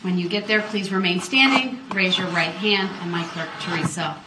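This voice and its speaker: American female judge, medium-pitched, courteous formal instructions, recorded in a resounding room with clear background noise. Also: normal speed, echoey room. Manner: polite, procedural. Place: indoor courtroom.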